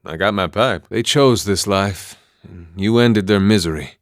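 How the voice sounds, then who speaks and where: An actor playing a laid-back, wry farmer and war veteran in a video game, with a folksy drawl and dry, understated humor. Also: male voice, fairly low pitch, unhurried, no echo. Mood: easygoing, dry. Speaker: video game companion character. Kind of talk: video game voice acting, story, banter and fight barks.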